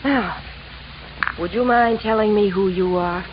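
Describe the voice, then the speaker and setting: An actress playing a brassy, blasé young wife in a 1950s radio crime drama, offhand and curt. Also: female voice, mid-range voice, a little slow, natural voice. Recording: muffled vintage radio, sizzling noise.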